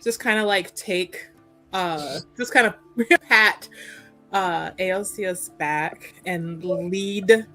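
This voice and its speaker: A woman's voice: lowish voice, drawn-out words, fairly bright tone. A woman playing a tabletop roleplaying game, improvising dialogue and actions in a casual, hesitant way over background music. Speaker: tabletop RPG player. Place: online streamed game session.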